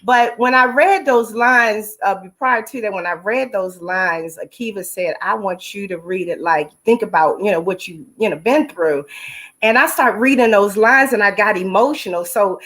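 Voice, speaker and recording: A middle-aged woman with a mid-pitched voice speaks as an interview guest. She is heartfelt and emphatic, testifying in repeated, preacher-like phrases. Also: moderate pace, a bit bright. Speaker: stage play actress and singer, interview guest. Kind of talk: personal, testimonial interview answers.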